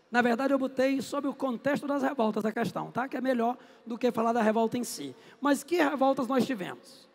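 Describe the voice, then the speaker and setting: High-pitched guy teaching history, chatty and jokey, lots of room echo. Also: vocal fry. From Northeast Brazil. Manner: chatty, jokey, asks and answers his own questions. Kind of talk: lively classroom lecture. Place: classroom. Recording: echoey classroom with long reverb.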